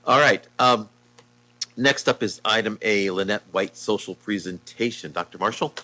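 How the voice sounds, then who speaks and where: A man with a very deep yet forceful voice chairs a school board meeting in a relaxed, joking way. A faint hum sits under the recording in the meeting room. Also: moderate pace. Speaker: board president.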